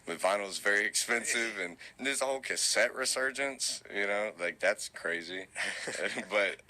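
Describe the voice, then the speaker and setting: Young man, very low voice, bright edge, relaxed rambling talk, tinny recording. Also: normal speed. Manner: relaxed, rambling with many fillers and restarts. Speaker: musician guest. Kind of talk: casual interview answers.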